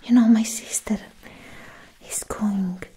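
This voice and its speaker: A woman's voice: mid-range voice, fairly even intonation, dark tone, lightly acted. Woman playing a whispering, stern alien agent. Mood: stern, probing. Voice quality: breathy, whispery. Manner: slow, deliberate, condescending. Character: stern, haughty alien government agent, older sister. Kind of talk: soft-spoken ASMR roleplay, half whispered. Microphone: close microphone. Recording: close-miked with audible background hiss.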